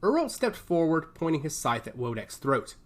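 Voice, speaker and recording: A man's voice: fairly high pitch, sing-song, moderate pace. A man narrating an action story as a scripted, dramatic audiobook.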